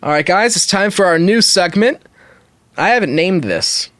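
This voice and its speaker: A man with a lively, animated delivery and a slightly high voice hosts a comedy podcast. He talks casually and banters with his co-hosts. The sound is dry and close. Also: moderate pace, dry recording. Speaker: podcast host.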